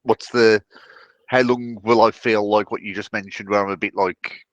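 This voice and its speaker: A man's voice: fairly low pitch, fairly even intonation, normal speed, no room sound, lo-fi sound. A man chatting casually and a little tentatively as a player in a tabletop game. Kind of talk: casual improvised chat.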